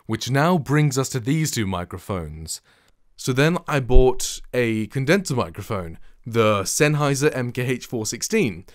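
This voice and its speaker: A young man with a deep voice chats to camera, rambling and breaking off to correct himself. He sits close to a studio mic in a small foam-lined home studio. The sound is dry, with no room echo at all. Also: animated, measured pace. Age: young adult. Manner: chatty, rambling, self-correcting. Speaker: vlogger comparing microphones. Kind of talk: casual talk to camera. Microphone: studio condenser and shotgun mics close up, reflection filter.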